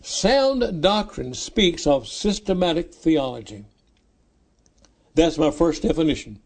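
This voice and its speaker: A ninety-year-old male pastor delivering a sermon, stretching out and repeating key phrases for weight. His voice sits a little higher than most men's. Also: expressive, darkish tone. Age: 90s. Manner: slow, emphatic repetition of key phrases.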